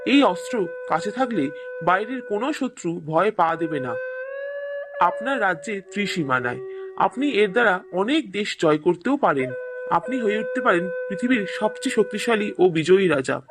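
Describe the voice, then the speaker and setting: A man playing an earnest, courteous young prince in an animated fairy tale, speaking formally and politely. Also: sits high, moderate pace, over music. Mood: boastful.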